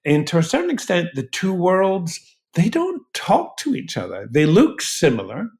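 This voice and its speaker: A man speaking as an expert interview guest in a deliberate, explanatory way. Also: high-pitched, wildly swooping pitch, creaky, a little mellow. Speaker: guest expert, physician researcher.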